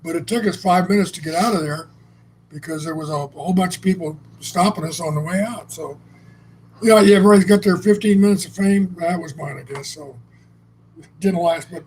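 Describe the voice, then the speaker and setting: A man's voice: sits high, brisk, darkish tone, slight background noise, poor audio. A man co-hosting a casual chat, telling stories in a blunt, salty, rambling way with frequent restarts. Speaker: co-host. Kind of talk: casual conversational storytelling.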